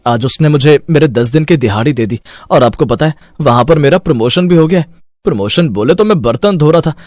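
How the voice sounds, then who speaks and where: A man's voice: a little high, animated, fairly quick, a little mellow, no echo, clean studio sound, close to an everyday voice. A man voicing an earnest, dutiful teenage son in a cartoon moral story, explaining things reassuringly. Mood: eager. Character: earnest, dutiful fifteen-year-old son of poor mother. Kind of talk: animated moral-story dialogue.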